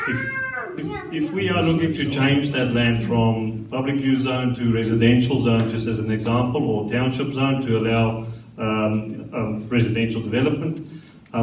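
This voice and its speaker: Council director, mid-pitched, careful and explanatory, echoey room. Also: male voice, a little mellow. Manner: measured, explanatory, frequent restarts. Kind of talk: formal explanation at a meeting. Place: council chamber. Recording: echoey chamber, distant mic, faint hiss.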